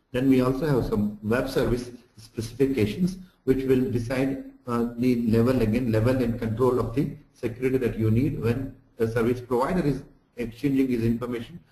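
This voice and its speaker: A man's voice: mid-range voice, warm tone. A man lecturing through slides for an online lesson, explaining didactically with examples and recaps. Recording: echoey room sound.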